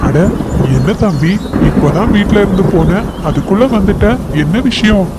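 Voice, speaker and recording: Voice actor playing a greedy elder eagle, cartoon villain, gloating and bossy, mid-pitched, loud noise behind. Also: lively intonation, moderate pace, natural voice. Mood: puzzled. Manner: gloating, bossy big-brother tone. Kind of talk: cartoon voice acting.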